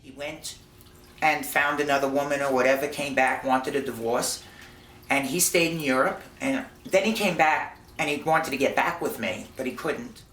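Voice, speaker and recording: A woman's voice: extremely low pitch, moderate pace, fairly bright tone. Older woman, distant and echoey. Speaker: mother interviewed by her son. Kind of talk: candid documentary interview. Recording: echoey room, distant mic, faint noise.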